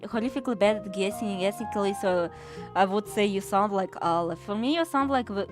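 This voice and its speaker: A woman's voice: medium pitch, brisk, over music. A woman from Russia with a Russian accent streams a game and chats casually, rambling, swearing freely and reading chat aloud. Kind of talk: casual gaming livestream chat.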